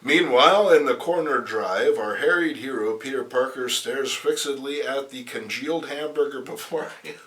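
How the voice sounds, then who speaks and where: A man's voice: mid-range voice, normal speed, hardly any pauses, fairly bright tone. A man giving a playful, theatrical dramatic reading of a comic book, with wry asides. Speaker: amateur comic book reader.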